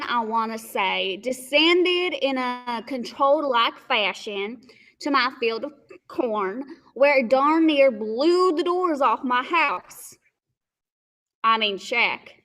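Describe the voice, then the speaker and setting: A woman playing a slow, folksy male farmer bumpkin in a stage radio play, with a drawling, halting country way of talking. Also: fairly high pitch, animated, sharp tone, exaggerated voice. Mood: bumbling.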